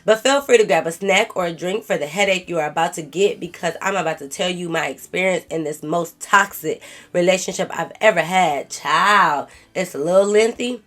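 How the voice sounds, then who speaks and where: A woman's voice: fairly low pitch, moderate pace, fairly bright tone. A young woman vlogger with a stuffed-up cold voice, reading a viewer's story aloud and talking back to it in sassy slang. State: congested from a cold. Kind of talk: casual storytime, reading a viewer's letter and reacting.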